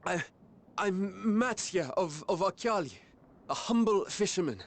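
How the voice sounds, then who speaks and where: An anxious, humble fisherman in a game drama. His high voice swings widely and moves slowly, halting and stammering, over music. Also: animated, full character voice. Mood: nervous. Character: humble, frightened fisherman bearing grave news. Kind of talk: video game cutscene voice acting.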